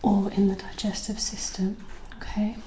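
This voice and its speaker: A woman whispering gently and reassuringly as a doctor in an ASMR medical role play, explaining each step in a breathy voice. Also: medium pitch, flat delivery, normal speed, echoey room.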